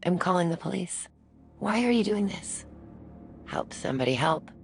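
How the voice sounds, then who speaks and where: An actress playing a frail, lonely old woman in a video game, with a creaky voice. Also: on the low side, expressive, moderate pace, soft background music. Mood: frightened, pleading. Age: older.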